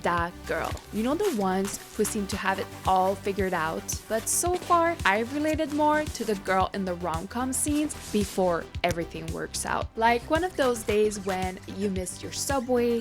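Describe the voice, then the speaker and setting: A woman hosts a podcast in a mid-range voice. She speaks in a casual, girl-talk interview style, with some scripted introduction. Music can sit behind her voice. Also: mid-range voice. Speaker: podcast host.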